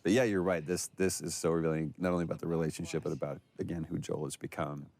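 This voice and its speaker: A man's voice: extremely low pitch, steady tone, fast, gravelly, darkish tone. A man giving casual, enthusiastic behind-the-scenes commentary as an actor looking back on his work. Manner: enthusiastic, effusive.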